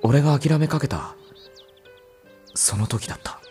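A woman's voice: low-pitched, a little slow, over music. A woman playing an earnest, caring young man with casual boyish speech in a Japanese audio drama. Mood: dejected, reflective. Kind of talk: Japanese visual novel audio drama acting.

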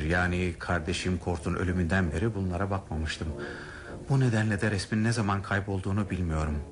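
A man's voice: low voice, background noise, close to an everyday voice. A man in a radio drama playing a courteous, worried older male aristocrat, with formal and hesitant speech. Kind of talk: 1970s radio drama acting. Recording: radio drama with music behind.

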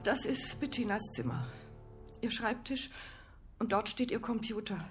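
A woman's voice: fairly high pitch, measured pace, soft background music, close to an everyday voice. An actress playing an uneasy, polite mother in a radio drama, her voice slightly trembling. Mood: anxious, polite.

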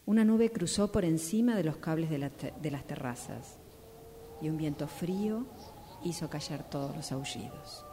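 A woman's voice: low-pitched, animated, normal speed, mellow. A woman reading a scripted story as a radio drama narration exercise. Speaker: radio drama workshop participant. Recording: clear background noise.

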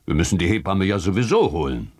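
A man's voice: deep voice, very animated, fast talker, a loud crowd. An actor playing a jovial, boastful country father in a German radio drama, joking in an easygoing, folksy way. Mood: calm, jovial.

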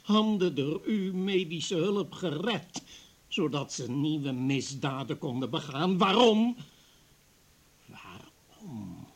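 An actor playing an embittered, grieving older professor in a radio play, husky and weighty, full of wounded accusation. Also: male voice, a little high, very animated, takes it slowly, full character voice. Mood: accusing, anguished. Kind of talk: Dutch radio play acting.

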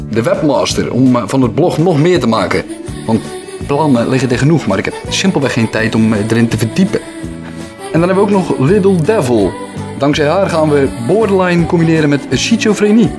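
Man hosting a sign-off over music. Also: fairly high pitch. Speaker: podcast host. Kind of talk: reflective sign-off monologue.

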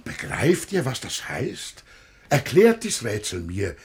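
A man's voice: mid-range voice, huge pitch swings, slow pace, a little hiss or hum, heavily acted. An actor playing a hearty, self-assured old father, declaiming theatrical rhymed verse in a radio play. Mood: earnest.

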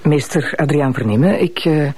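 An actress with a Flemish accent playing a bossy, by-the-book deputy prosecutor in a TV crime drama, formal and exasperated in manner. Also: female voice, low-pitched, lively intonation, normal speed, warm tone. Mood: stern, formal. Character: stern, by-the-book female deputy prosecutor in charge. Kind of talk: naturalistic TV crime drama acting.

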